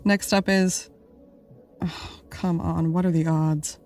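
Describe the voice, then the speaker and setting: A woman playing a dry, skeptical podcast producer and sidekick, deadpan and blunt, in a naturalistic audio drama. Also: fairly low pitch, takes it slowly. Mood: wry, practical.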